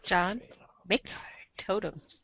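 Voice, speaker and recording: A woman's voice: medium pitch, drawn-out words, vocal fry, a bit bright, no echo, heavily acted. A woman playing a wry, theatrical narrator who reads scripted comedic second-person narration. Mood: wry narrating. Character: wry storybook narrator addressing the hero as you.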